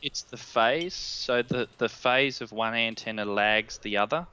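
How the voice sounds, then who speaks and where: A man's voice: mid-range voice, measured pace, sharp tone, smooth, lo-fi sound. An Australian-accented man in his thirties, a guest expert giving a technical explanation on a live stream. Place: indoor room at home, on a video call. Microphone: headset.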